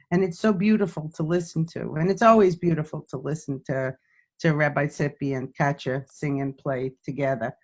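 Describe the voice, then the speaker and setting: Slow, earnest speaker on a video call. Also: mid-range voice, a little mellow. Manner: earnest, repeats words for emphasis. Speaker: event participant. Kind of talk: casual sharing on a video call.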